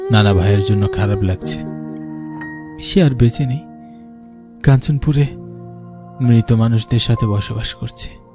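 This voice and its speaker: A man's voice: fairly low pitch, measured pace, pauses often, over music. A man narrating a scripted horror story for a cartoon.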